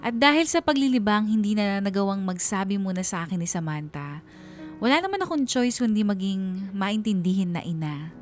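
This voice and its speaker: A woman's voice: mid-range voice, animated, normal speed. A woman with a Filipino accent reading scripted first-person narration for a radio drama. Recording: music behind the narration.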